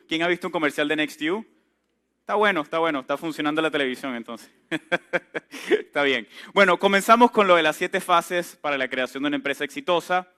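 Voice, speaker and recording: A man. His voice sits somewhat high, with a bright, forceful edge. He tells his story in a relaxed, chatty way and throws questions out to the room. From Venezuela. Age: 30s. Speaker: entrepreneur speaker. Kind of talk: conference talk to a live audience.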